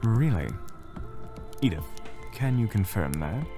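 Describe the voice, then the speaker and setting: A man's voice: on the low side, very animated, slow. A man playing a bitter, proud villain in a fantasy audio drama, speaking in a theatrical, sardonic way. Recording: music behind the voice.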